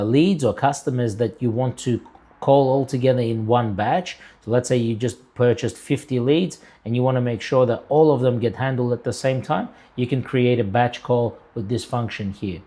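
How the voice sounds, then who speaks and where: A man in his thirties talks through a tutorial in a medium-pitched voice. He explains things step by step in a casual, conversational way, as if showing someone his screen. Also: mellow. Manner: conversational, uses everyday analogies and tag questions like "right?". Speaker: presenter of a software tutorial. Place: home room with a window, indoors.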